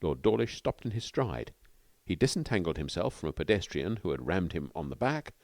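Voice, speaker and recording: A man's voice: sits low, very animated, fairly quick, darkish tone, dry recording. A man reading a comic novel aloud as scripted audiobook narration.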